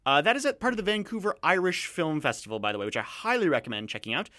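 A man hosting a radio show, chatting casually about films in a rambling, digressive way with halting restarts. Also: a little high, big pitch swings, sharp tone, no echo, studio quality. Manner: rambling, digressive, halting with repeated false starts. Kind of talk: casual film review chat.

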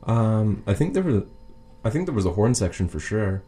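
A man co-hosting a radio show in casual, unscripted chat, speaking haltingly and restarting his phrases. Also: lowish voice, sing-song, dark tone. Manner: halting, repeats phrase openings. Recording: faint background noise.

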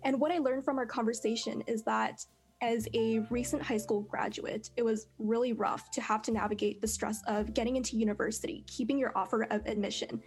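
A young woman, a fourth-year student, speaking in a polished, measured, carefully worded way as a panel guest. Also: a little high, steady tone, darkish tone, faint music behind. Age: young adult. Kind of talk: prepared self-introduction on a panel.

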